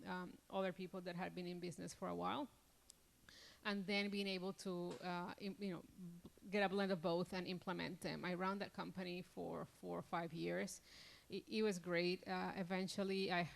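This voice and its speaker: Middle-aged woman from Colombia, slightly gravelly voice, halting and conversational as an interview guest. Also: on the low side. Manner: rambling with many restarts and fillers.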